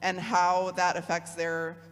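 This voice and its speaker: A woman's voice: fairly low pitch, completely flat delivery, takes it slowly. A woman in her thirties with an American Midwest accent, giving a friendly, chatty talk as a guest speaker with frequent ums. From Michigan, USA. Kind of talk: casual, personable lecture. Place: parish hall or church. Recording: long reverb of a church hall.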